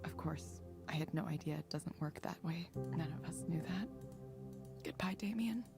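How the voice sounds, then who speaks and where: A weary young woman from a visual novel, burdened by guilt. Her voice is low and fried at the edges, hushed as a confession. Music plays clearly behind her. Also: moderate pace. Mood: wistful, resigned. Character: weary, guilt-ridden young woman grieving an old colleague. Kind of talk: visual novel game voice acting. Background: game music.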